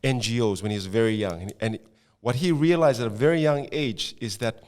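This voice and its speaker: A man's voice: medium pitch, fairly quick, darkish tone. A man co-hosting a casual conversation, giving opinions and stretching words for emphasis, often checking in with "right?". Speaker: podcast co-host.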